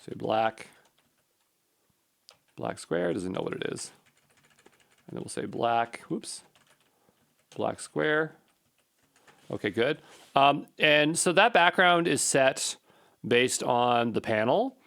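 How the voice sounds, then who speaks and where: A man's voice: mid-range voice, lively intonation, slow pace, gravelly, long pauses, a bit bright. A man giving an informal coding walkthrough, thinking aloud and muttering to himself as he works. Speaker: coding tutorial presenter.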